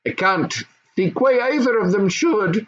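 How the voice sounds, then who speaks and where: A man's voice: sits high, expressive, measured pace, highs cut off, slight background noise, voice pushed up. A man voicing an opinionated, haughty goblin mother in a storybook. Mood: scornful.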